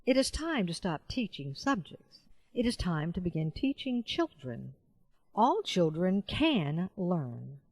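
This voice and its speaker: A woman reading nonfiction audiobook narration in a measured, carefully enunciated way. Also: on the low side, big pitch swings, darkish tone, no room sound. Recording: dull, muffled lo-fi voice-over.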